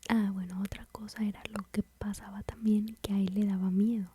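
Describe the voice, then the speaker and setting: A woman with a Mexican accent whispering softly as she tells a personal story about motherhood, in a confiding, rambling way. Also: mid-range voice, little pitch movement, fairly quick, slight background noise. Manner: soft, confiding, rambling with fillers.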